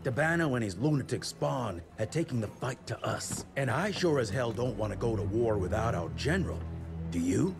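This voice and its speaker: A man playing a grave, steady frontier monster hunter in a video game, speaking in a measured, plain-spoken, folksy way. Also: medium pitch, over music, lightly acted. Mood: resolute, urging. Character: grave, gritty frontier monster-hunting veteran, steady mentor.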